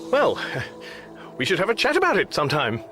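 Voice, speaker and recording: A flustered English everyman lost in space, in a radio comedy. His fairly high voice jumps all over in wry bewilderment, with music behind it. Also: wildly swooping pitch, normal speed. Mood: awkwardly polite. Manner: flustered, dry, politely exasperated. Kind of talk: radio comedy drama acting.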